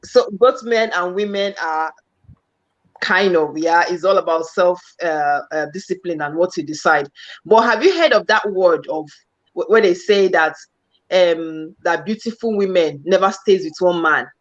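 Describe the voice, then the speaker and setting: A woman's voice: lowish voice, measured pace, lo-fi sound. A woman guest on a casual panel chat who talks hesitantly and repeats her words as she starts sentences.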